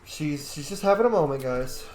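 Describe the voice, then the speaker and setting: A man's voice: fairly high pitch, animated, normal speed, darkish tone. Man gaming in a roomy, echoing space. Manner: casual, profane. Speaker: streamer playing a roleplaying video game. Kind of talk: casual let's play commentary.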